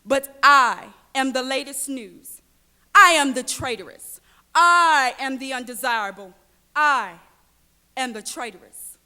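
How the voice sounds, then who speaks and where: An actress plays a passionate woman activist with a high voice, addressing a hall with long reverb. She declaims deliberately and emphatically, defiant throughout her staged political address. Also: expressive, bright, forceful tone, clear, resonant tone, exaggerated voice. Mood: fierce, proud. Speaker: political speaker.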